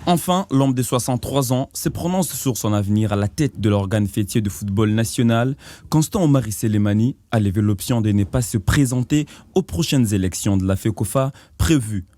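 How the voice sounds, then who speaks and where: A man reading a sports news report in an even, formal tone. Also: medium pitch, moderate pace, no echo.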